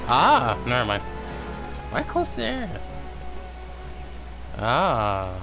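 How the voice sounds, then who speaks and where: A man giving casual live gameplay commentary, reacting out loud and muttering asides. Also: medium pitch, huge pitch swings, takes it slowly, creaky. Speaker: game streamer. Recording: game music behind the voice. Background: video game music and dialogue.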